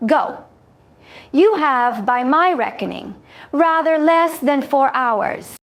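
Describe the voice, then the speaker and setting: A woman plays an alien trader from a science-fiction audio story. The voice is high and very unhurried, setting out each formal clause with exact care. Beneath the legalistic precision sits a quiet mercy. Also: expressive, heavily acted. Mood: solemn, quietly merciful. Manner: formal, clipped legalistic phrasing.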